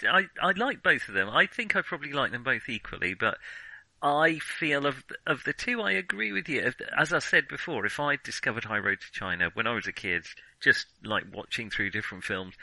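A man's voice: medium pitch, big pitch swings, moderate pace, bright, forceful tone, dry recording, poor audio. A man co-hosting a casual film discussion podcast, speaking in a hesitant way and often qualifying what he says.

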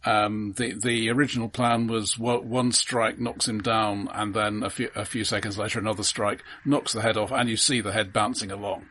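A man's voice: lowish voice, steady tone, normal speed, few pauses, pushed and bright. A man co-hosting a casual film discussion in a matter-of-fact way. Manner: matter-of-fact, interjects corrections. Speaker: film podcast host.

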